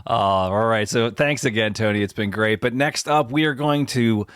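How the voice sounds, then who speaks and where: A man's voice: lowish voice, normal speed, fairly bright tone, clear, resonant tone, no room sound, studio quality. Upbeat man hosting a podcast. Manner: upbeat, steering the show. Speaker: podcast host.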